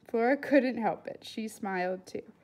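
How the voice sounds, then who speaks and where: Woman doing a read aloud, highish voice, expressive storybook narration. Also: a little slow.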